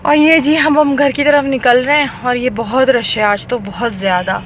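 Young mom vlogging on her phone, a bit high-pitched, chatty, street noise behind. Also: fairly even intonation, normal speed. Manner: chatty, narrating while walking around. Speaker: vlogger mother. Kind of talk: casual shopping vlog narration. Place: busy mall and car outdoors. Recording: phone audio with clear background noise. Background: traffic and street noise.

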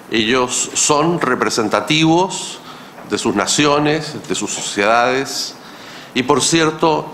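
Middle-aged male moderator at a panel table: slow, deliberate phrasing and a bright, forward tone, speaking into a gooseneck mic with faint room noise behind. His delivery is ceremonious and measured, full of pauses. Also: on the low side. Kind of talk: formal introduction speech. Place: conference hall, seated at panel table.

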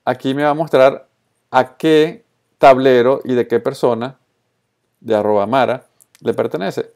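A man's voice: medium pitch, creaky, a little mellow. A man from Colombia and Venezuela, with an accent from both, narrating a casual screencast tutorial in an informal way, mixing in English terms. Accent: Colombian-Venezuelan Spanish. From born in Colombia, raised in Venezuela. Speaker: social media tutorial presenter.

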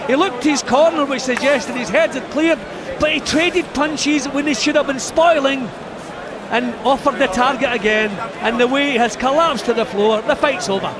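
A man's voice: very high voice, moderate pace. A man giving expert co-commentary at a boxing match, analyzing the boxers' tactics and the referee's calls. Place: arena ringside. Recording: broadcast with loud crowd noise. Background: loud arena crowd.

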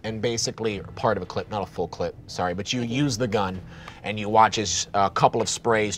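A man's voice: on the low side, slight background noise. A man in his thirties narrating a tabletop horror game as game master, rambling and vivid, recorded on a studio set. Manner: descriptive, rambling, many restarts.

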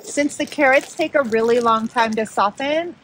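A woman's voice: on the high side, moderate pace, fairly bright tone, slight background noise, low-quality recording. Chatty woman cooking at camp. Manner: chatty, light laughs while explaining. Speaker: camp cook, co-host. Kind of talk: casual cooking vlog. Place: outdoor mountain campsite.

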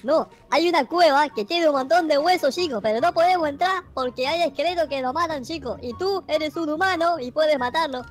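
Very high-pitched cheeky talking dog played by a performer. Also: brisk, few pauses, close to an everyday voice. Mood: chummy, insistent. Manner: slangy, ends phrases with "chico". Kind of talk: Minecraft roleplay skit. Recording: music behind the voice.

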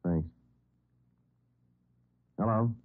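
An actor playing a matter-of-fact police detective who narrates and talks in a clipped, deadpan way in an old radio procedural. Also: male voice, on the low side, drawn-out words. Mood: businesslike. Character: brisk, businesslike police detective sergeant and narrator. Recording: tinny old radio broadcast, music behind.

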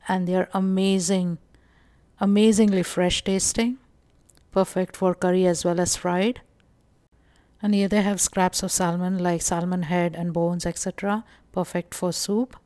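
A woman from India narrating a casual shopping walkthrough vlog, calm and explanatory with frequent pauses and fillers. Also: on the low side, no room sound. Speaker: vlogger.

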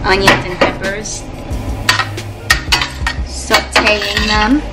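A young woman narrates her cooking in a home kitchen, speaking slowly in a relaxed, easygoing way. Her pitch sits in an ordinary middle range. Loud music plays behind her voice the whole time. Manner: relaxed, unhurried, with fillers. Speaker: cooking vlogger. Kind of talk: casual cook-along narration.

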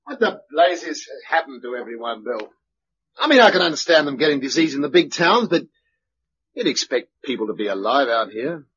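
Blunt, wry British man, animated pitch. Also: moderate pace. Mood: puzzled. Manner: blunt, sardonic, colloquial. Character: blunt, wry, down-to-earth male survivor. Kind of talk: mid-century British radio drama acting. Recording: dull, noisy old radio recording.

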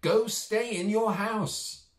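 A man's voice: high-pitched, takes it slowly, echoey room, pitched above the performer's own voice. A man voicing a kind, dutiful female nurse in a children's storybook, reassuring and instructive. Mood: gently instructing.

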